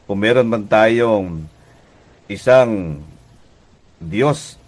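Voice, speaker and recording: A Filipino man preaching a devotional radio message as pastor and host, deliberate and emphatic, often checking in with listeners. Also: lowish voice, lively intonation. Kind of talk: devotional radio sermon in conversational teaching tone.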